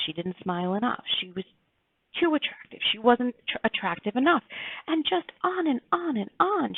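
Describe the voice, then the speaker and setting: A woman reading her own fairy tale aloud in an earnest, emphatic storybook style with dramatic pauses. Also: medium pitch, gravelly, husky. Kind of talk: expressive storybook read-aloud. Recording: tinny phone-like, roomy echo.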